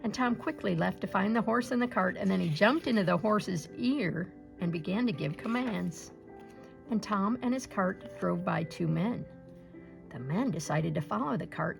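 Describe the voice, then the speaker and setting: A woman reading a children's fairy tale aloud in a gentle storybook manner. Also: mid-range voice, vocal fry, rough recording.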